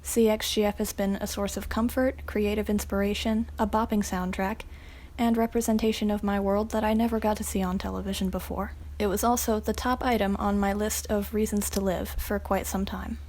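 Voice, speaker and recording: A woman's voice: medium pitch, level tone, brisk, a little mellow. A woman in her twenties speaking earnestly about a show. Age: 20s.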